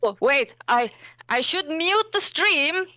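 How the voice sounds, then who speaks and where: A woman with a high, lively voice chats casually as a stream guest. Her sound is dry and close, over an online voice chat. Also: takes it slowly, dry recording. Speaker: guest joining a live stream. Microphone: voice chat on a computer.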